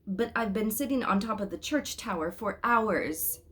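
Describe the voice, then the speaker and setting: A woman's voice: medium pitch, expressive, normal speed, a bit bright, voice pushed up, natural voice. A woman voicing a small, proud boy angel who speaks in eager exclamations. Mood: offended. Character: small excitable child angel, boyish and proud.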